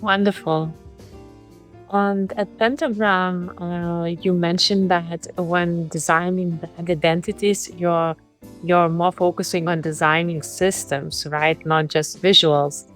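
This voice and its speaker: A woman's voice: fairly low pitch, fairly even intonation, takes it slowly, faint music behind. A woman hosting a podcast, eagerly introducing her guest and asking long, winding questions. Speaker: podcast host. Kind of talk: interview hosting.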